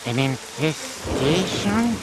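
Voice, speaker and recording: A man voicing a servile, creepy hunchbacked castle servant in a cartoon video game, with drawn-out delivery. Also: on the high side, big pitch swings, background noise. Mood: grudging, matter-of-fact. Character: hunchbacked manservant of a spooky mad scientist's castle. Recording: loud music behind voice.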